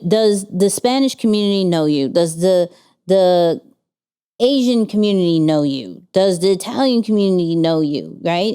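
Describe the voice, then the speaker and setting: A woman co-hosts a casual business chat, her voice mellow and smooth. She talks with energy, stacks up rhetorical questions and often restarts her sentences. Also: mid-range voice. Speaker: podcast co-host.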